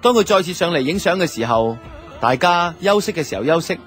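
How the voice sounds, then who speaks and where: A man's voice: a little high, lively intonation, brisk, fairly bright tone. An actor with a Hong Kong Cantonese accent playing a wry, aggrieved office worker, telling his story in colloquial radio drama narration. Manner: wry, grumbling, conversational. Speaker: narrator and lead character of a radio drama series. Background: background music.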